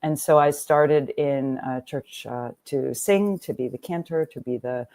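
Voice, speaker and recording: Interview guest, middle-aged woman, Lithuanian-speaking home, deep warm tone, animated storyteller. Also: a little slow. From Texas, USA. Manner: animated storytelling, laughs often, frequent restarts. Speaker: guest, writer and nonprofit founder. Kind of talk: conversational interview.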